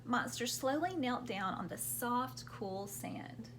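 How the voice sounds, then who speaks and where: A woman's voice: fairly high pitch, expressive, slow pace, a bit bright, a little hiss or hum. A woman hosting a children's storytime, reading picture books aloud expressively and explaining things simply for young listeners.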